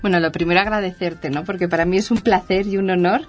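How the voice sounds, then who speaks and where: A woman's voice: fairly low pitch, steady tone, fast, faint music behind. A young woman with a Spanish accent, a guest on a TV talk show, speaking earnestly and with enthusiasm. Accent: Spanish (Spain). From Mexico City, raised in Spain. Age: young adult. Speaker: guest, entrepreneur. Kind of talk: TV interview.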